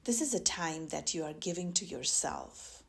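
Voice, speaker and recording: A woman teaching mindfulness, guiding a meditation in a gentle, measured way with long pauses between phrases. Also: sits low, lively intonation, gravelly. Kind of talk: guided meditation instruction.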